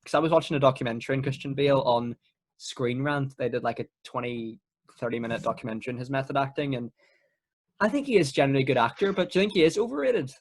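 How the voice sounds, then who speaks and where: A teenage guy co-hosting a laid-back film podcast in a mid-pitched voice. He is agreeable and matey, quick to be amused, and talks in a loose, casual chat style. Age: teens. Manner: agreeable, matey, breaks easily into laughter.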